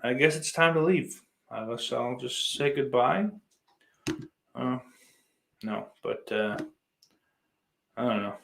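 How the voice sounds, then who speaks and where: A man's voice: medium pitch, takes it slowly, gravelly, pauses often, a bit bright, a little hiss or hum. A man hosts a casual podcast in a mid-range voice. He talks off the cuff to his listeners, reading and answering their comments. His language is blunt and full of swearing. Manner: profane, blunt. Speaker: podcast host.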